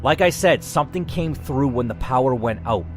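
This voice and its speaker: A man's voice: mid-range voice, animated, normal speed, faint music behind, close to an everyday voice. A man voicing a terrified, guarded woman scientist in her late thirties from a horror game story. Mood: afraid, warning. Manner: fearful, guarded.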